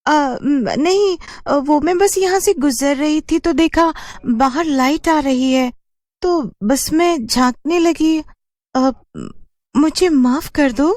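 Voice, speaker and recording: A woman's voice: high voice, slow pace, darkish tone, dry recording, clean studio sound, pitched above the performer's own voice. A woman voicing a shy, earnest young village woman in a cartoon story. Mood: flustered. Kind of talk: Hindi horror cartoon character voice.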